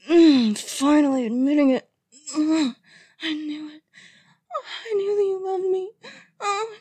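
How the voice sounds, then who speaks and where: A woman voicing an unstable, possessive female lover in an audio roleplay, speaking in a breathy, often whispered voice. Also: high voice, dark tone, no echo, studio quality. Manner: slow, intimate delivery that swings to desperate pleading.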